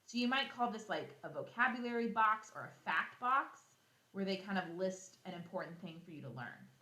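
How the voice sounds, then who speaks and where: Animated woman teacher reading aloud to children. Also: medium pitch, normal speed, echoey room, poor audio. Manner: animated, instructive, asks questions and answers them herself. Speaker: elementary school teacher. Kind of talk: read-aloud lesson for young children, explaining as she reads.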